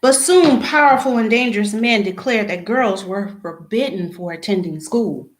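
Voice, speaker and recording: A woman reading a children's picture book aloud, phrase by phrase, with expressive emphasis at the start of sentences. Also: mid-range voice, moderate pace. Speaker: read-aloud storyteller. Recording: echoey room.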